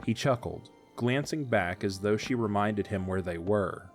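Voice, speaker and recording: Low-voiced man narrating a story. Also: unhurried, faint music behind. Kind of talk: scripted audio drama narration.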